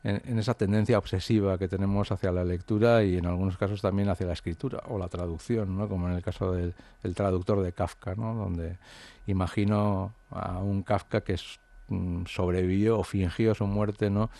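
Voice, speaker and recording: A man's voice: deep voice, fairly even intonation, normal speed, creaky, dark tone, no echo. A man, an author from the Basque Country, talking through his ideas in an interview, hesitant and reflective with many fillers and restarts. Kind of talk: interview conversation.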